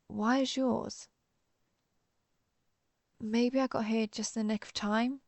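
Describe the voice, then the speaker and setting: A woman playing a cool, menacing, centuries-old vampire hunter in a roleplay audio drama, deliberate and sardonic as she interrogates the listener. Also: medium pitch, creaky, no echo. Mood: probing, dry. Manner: deliberate, interrogating, dryly threatening.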